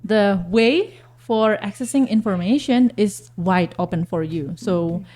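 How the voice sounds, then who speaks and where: A woman, speaking as a guest teacher on a radio talk show, pausing often to search for words. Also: mid-range voice, darkish tone. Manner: hesitant, searching for words with repeated fillers.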